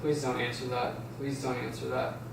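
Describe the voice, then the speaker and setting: A man's voice: mid-range voice, monotone, normal speed, gravelly, close to an everyday voice. A man reading the part of an anxious, withdrawn teenage boy at a script table read in an echoey room. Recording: echoey room, distant mic, faint noise.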